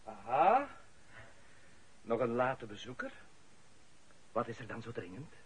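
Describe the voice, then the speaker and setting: An actor playing a solemn, fatherly clergyman in a Dutch radio drama, with deliberate, preacherly phrasing. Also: mid-range voice, wildly swooping pitch. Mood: calmly fatherly. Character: solemn male canon, measured and fatherly. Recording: noisy old radio drama recording.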